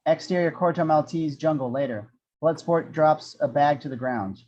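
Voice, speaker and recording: A man's voice: on the high side, moderate pace, darkish tone, lo-fi sound. A man reading action descriptions as the narrator of an amateur screenplay table read.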